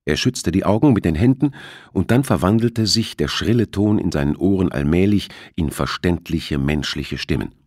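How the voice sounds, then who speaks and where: A man with a husky voice reading a thriller novel as an audiobook narrator. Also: sits low, lively intonation, normal speed, darkish tone, dry recording, clean studio sound.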